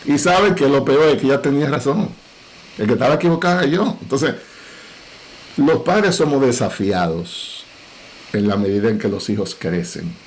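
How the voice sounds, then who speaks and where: A middle-aged man with a Dominican Cibao accent, a pastor speaking earnestly and in a measured way on a parenting panel. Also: medium pitch, animated, low-quality recording. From Dominican Republic.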